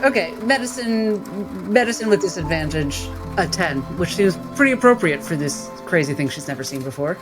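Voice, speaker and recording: A man takes part in a tabletop roleplaying game as a player, moving between description and in-character speech. His voice sits fairly high, with music clearly behind it. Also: animated, a little fast, few pauses, rough recording.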